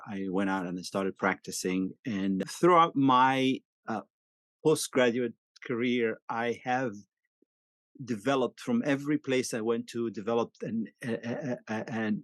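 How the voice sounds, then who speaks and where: A man's voice: on the low side, no room sound. A middle-aged man from Egypt, a guest pathologist on a video call, explaining earnestly and repeating words as he starts. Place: home office with bookshelves.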